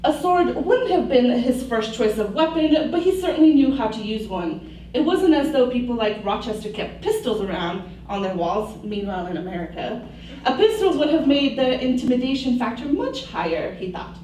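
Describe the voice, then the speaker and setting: Woman co-host, amused narration, slightly high voice, echoey room, distant mic. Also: expressive, normal speed, warm tone, a little hiss or hum. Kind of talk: dramatic book reading on a live show.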